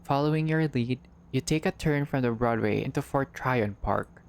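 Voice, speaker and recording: A man's voice: mid-range voice, moderate pace, vocal fry. A man reading a visual novel aloud as a playthrough narrator, in a storyteller-like way with brief casual asides.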